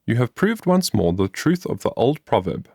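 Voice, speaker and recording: A man's voice: medium pitch, sing-song, normal speed, creaky, darkish tone, no room sound, studio quality. A man voicing a cheerful, industrious young woman in a storybook, in a chatty, earnest manner. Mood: earnest, musing. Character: cheerful, industrious young woman explaining her homemade invention.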